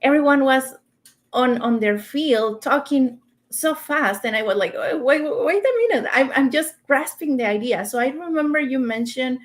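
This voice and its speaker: A woman's voice: sits high, expressive, normal speed. Mexican-accented woman, earnest guest. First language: Spanish. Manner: earnest, searching for words, small grammar slips. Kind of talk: casual interview chat.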